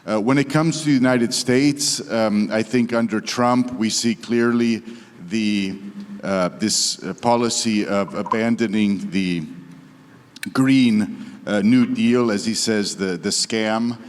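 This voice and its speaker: A man from Croatia, speaking as a politician on a conference panel, halting with many fillers and restarts but blunt in his opinions. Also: on the low side. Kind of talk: panel discussion remarks. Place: conference hall. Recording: long reverb of a conference hall.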